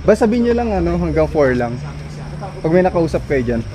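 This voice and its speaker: A man in his thirties hosting a walking vlog through an abandoned building, talking chattily to a handheld camera and dropping to a hush in dark rooms. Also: a little high, a little fast, noisy background. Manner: chatty, excitable, trails off into hushed remarks in dark rooms. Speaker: vlog host exploring abandoned places. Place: abandoned concrete building, indoors and outdoors. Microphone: handheld action camera at arm's length.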